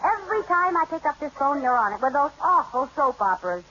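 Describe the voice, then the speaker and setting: An actress playing a chirpy, scatterbrained young housewife in a 1940s radio comedy. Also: female voice, sits high, sing-song, fairly quick, bright, forceful tone, full character voice. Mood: flustered. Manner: chirpy, flustered, wisecracking. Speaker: wife in a radio sitcom. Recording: dull lo-fi old radio recording with faint hiss.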